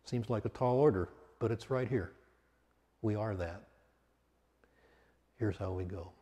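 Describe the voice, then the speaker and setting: Calm guy doing guided meditation, kinda deep voice, lots of long pauses, big echoey reverb. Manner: calm, measured, with long pauses between short phrases. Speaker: meditation teacher. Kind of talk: guided meditation, spoken slowly and unscripted. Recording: long reverberant hall sound.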